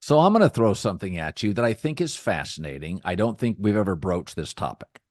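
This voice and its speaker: A middle-aged man hosting a sports talk podcast, with a deep, rich voice and a measured, thoughtful delivery that often restarts phrases. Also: sing-song, a little mellow, no echo, studio quality. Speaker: podcast host.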